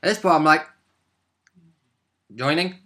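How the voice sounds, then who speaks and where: Bright-sounding man, a tabletop gamer chatting. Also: a little high, measured pace. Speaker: player in the tabletop game. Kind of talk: casual chat about a role-playing game.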